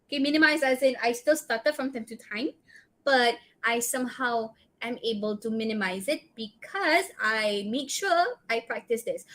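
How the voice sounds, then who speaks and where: A woman's voice: a little high, fairly bright tone. A woman with a Malaysian accent giving a public speaking workshop talk, offering direct advice and tagging her sentences with "right?" and "okay?". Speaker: guest speaker.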